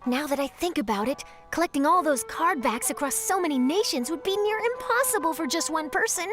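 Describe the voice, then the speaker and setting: A woman's voice: sits high, expressive, a little fast, music in the background. A woman playing a thoughtful, inquisitive young investigator in an anime game, reasoning in a reflective way. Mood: pondering.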